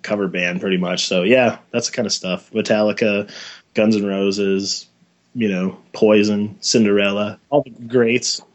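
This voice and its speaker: An American man from Wyoming, a band musician giving an interview in a laid-back, slangy, halting way. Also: lowish voice, fairly even intonation. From Wyoming, United States. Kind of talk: casual chat.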